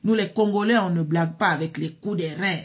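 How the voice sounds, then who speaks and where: A woman doing a loud, boastful young man. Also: fairly low pitch, fairly quick, breathy edge. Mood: boasting.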